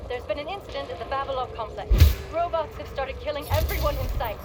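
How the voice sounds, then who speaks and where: A woman's voice: high voice, fast, heavy background noise, natural voice. A woman voicing a frightened, panicked programmer in a video game. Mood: urgent fear. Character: terrified female programmer, panicked, defensive.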